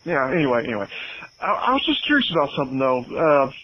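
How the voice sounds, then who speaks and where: A man's voice: mid-range voice, big pitch swings, fast talker, lightly acted. A man playing a casual, blunt fan phoning a radio show on a dull, noisy line. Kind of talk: casual chat.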